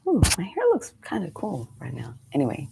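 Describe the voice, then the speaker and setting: A woman's voice: low voice, huge pitch swings, a little fast, vocal fry, noisy background. A woman in her forties, a meditation coach, chatting casually on a live stream, slightly congested and rambling through friendly asides. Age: 40s.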